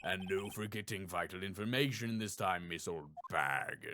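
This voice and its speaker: A man voicing a stern elderly male courtroom judge in a game, formal and measured. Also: deep voice, pushed and bright, a little hiss or hum, pitched below the performer's own voice. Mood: stern, solemn.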